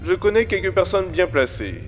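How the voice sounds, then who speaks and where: A man playing a cold, calm, formal crime boss in an amateur audio drama, with music underneath. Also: high voice, lo-fi sound. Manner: calm, coldly formal, measured. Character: cold scheming male crime boss, middle-aged.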